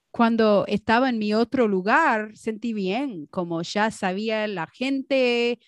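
Slow-talking woman co-hosting a podcast. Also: mid-range voice, dry recording, clean studio sound. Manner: relaxed, mixes in English words, laughs often. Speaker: podcast co-host. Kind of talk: casual chat.

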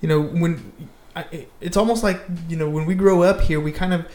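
A woman's voice: lowish voice, fairly even intonation, fairly quick, darkish tone. A woman hosting a casual interview, asking informal, rambling questions in a roomy, echoing space. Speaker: podcast interviewer, real estate agent.

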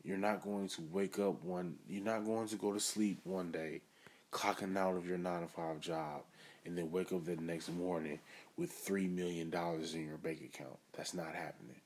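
A man's voice: low voice, steady tone, normal speed, darkish tone. A man hosting his own podcast, giving an earnest, self-assured motivational monologue. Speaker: podcast host.

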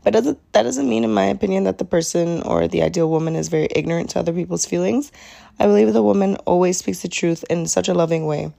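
A young woman hosting her own podcast in a sincere, chatty solo talk, her voice a little raspy today, with frequent "um"s. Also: lowish voice, quick pace, mellow. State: slightly raspy voice. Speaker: podcast host.

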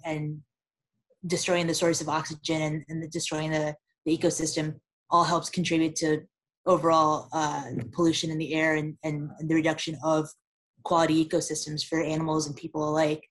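A woman with a low voice speaks as a guest expert on a podcast. Her delivery stays even, with little rise and fall, and she explains steadily with a few fillers. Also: normal speed. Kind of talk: casual podcast conversation.

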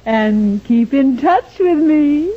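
A woman acting a self-assured, chatty lady plumber in 1940s radio comedy, with a deadpan, unhurried manner. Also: high-pitched. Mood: businesslike. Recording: muffled, noisy old radio recording.

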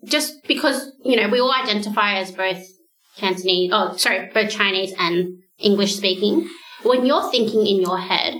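A woman's voice: medium pitch, moderate pace, a bit bright, roomy sound, low-quality recording. A woman hosting a podcast, chatty and reflective in a casual, self-mocking way. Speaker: podcast host. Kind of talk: casual chat.

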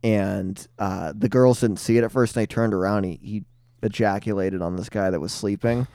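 Man, mid-pitched, smooth clear voice, dry sound, joking crude podcast storyteller. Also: steady tone, normal speed, dark tone, no room sound. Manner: storytelling, joking, swears freely. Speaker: podcast co-host. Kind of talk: crude casual banter. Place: podcast studio.

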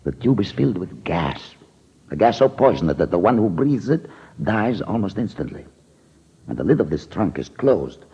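An actor playing a nervous, scheming male con man in a 1940s radio drama, speaking with a halting, theatrical delivery on a muffled old recording. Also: deep voice, sing-song, mellow, husky, full character voice. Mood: sinister, deliberate.

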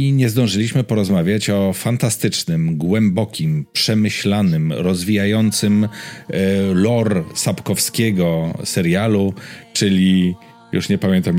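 A man's voice: deep voice, slow, a little mellow, faint music behind. A man co-hosting a podcast, talking casually and often hesitating as he searches for words. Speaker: podcast co-host.

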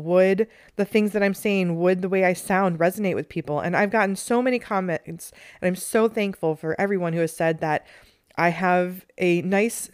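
A middle-aged woman with a low, soothing voice, hosting a meditation podcast in a gentle, earnest solo talk. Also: clean tone, no room sound, studio quality. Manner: gentle and earnest, with light laughs.

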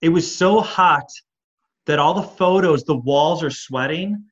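A man's voice: a little high, measured pace, a bit bright, lo-fi sound. A man with a Midwestern Michigan accent and a slightly nasal voice, chatting casually as an interview guest and rambling through stories with many fillers. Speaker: band singer and guitarist, guest.

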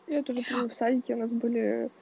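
A woman's voice: a little high, little pitch movement, quick pace, creaky, mellow, husky, rough recording. A woman from Ukraine co-hosting a casual podcast, talking in a hesitant, thoughtful way. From Kyiv, Ukraine. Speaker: podcast co-host.

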